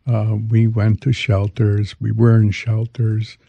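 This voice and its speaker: A man in his eighties from Hungary, speaking haltingly as he reminisces as an interview guest. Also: fairly low pitch, completely flat delivery, gravelly, warm tone, husky, dry recording, muffled recording. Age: 80s. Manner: halting, hesitant delivery. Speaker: interview guest, veteran commodities exchange trader.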